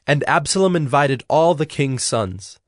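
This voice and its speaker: A man's voice: on the high side, lively intonation, unhurried, no room sound, studio quality. A man giving a dramatic scripted narration of scripture as the narrator. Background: music.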